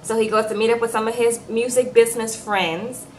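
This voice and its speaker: Young woman, casual recap chat, animated, fairly high voice, faint background hiss. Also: fairly quick, low-quality recording. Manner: animated, chatty, tags lines with "okay?". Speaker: reality show recap host.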